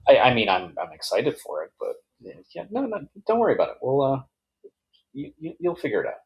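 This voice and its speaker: A man chatting casually on a podcast, halting and often repeating words as he goes. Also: mid-range voice, huge pitch swings, low-quality recording. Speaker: podcast producer and regular. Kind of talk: casual chat.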